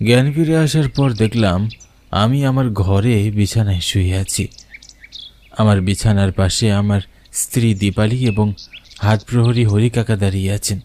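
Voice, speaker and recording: A man's voice: on the low side, warm tone, slight background noise, close to an everyday voice. A man playing a frightened villager who narrates his own ghost encounter in a dramatic, suspenseful horror-cartoon style. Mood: subdued. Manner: suspenseful, deliberate. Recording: prominent music behind narration.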